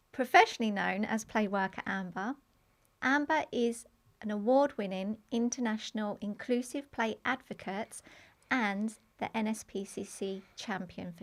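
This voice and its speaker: A woman hosting an education podcast, introducing her guest and asking questions in a measured way. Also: on the high side. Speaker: podcast host, education lead.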